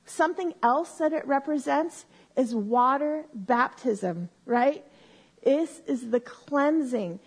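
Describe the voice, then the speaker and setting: A woman teaching a Bible lesson to a live group on a microphone, explaining slowly and step by step and often checking in with "right?". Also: high-pitched. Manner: says she has a loud voice. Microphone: handheld or stand mic.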